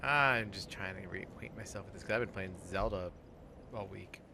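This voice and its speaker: Low-voiced man over noticeable background noise. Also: gravelly, pushed and bright. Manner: halting, frequent swearing. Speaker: host and player of a gaming show. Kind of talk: casual let's play commentary.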